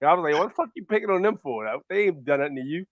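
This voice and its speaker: A man's voice: fairly high pitch, expressive, racing, dry recording, muffled recording. A man hosting a casual chat, laid-back and slangy, swearing freely. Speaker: host.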